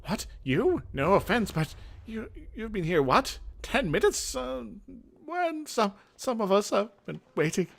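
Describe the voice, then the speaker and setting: An eager young game sorceress, acted out by a man, with a raised pitch that swings about. She is proud and impatient, insisting on her talents and hungry for a fight. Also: sing-song, unhurried, gravelly, heavily acted. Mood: startled, indignant.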